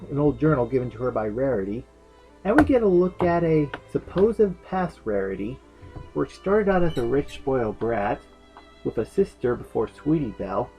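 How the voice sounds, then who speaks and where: A man's voice: mid-range voice, gravelly, a little mellow, low-quality recording. Male reviewer with background music. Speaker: host of a fan fiction review show. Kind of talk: scripted review narration with casual comic asides. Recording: music behind the voice.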